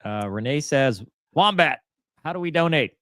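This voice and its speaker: A man's voice: a little high, lively intonation, moderate pace, fairly bright tone, no echo, clean studio sound. A man co-hosting a casual live stream, reading viewer comments aloud and joking around. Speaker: co-host reading viewer chat.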